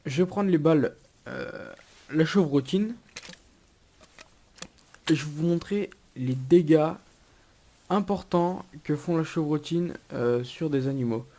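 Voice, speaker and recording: A man's voice: fairly high pitch, slow, pauses often, warm tone. A young man with a cold, giving a casual gameplay tutorial with many hesitations and restarts. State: has a cold. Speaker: gaming YouTuber.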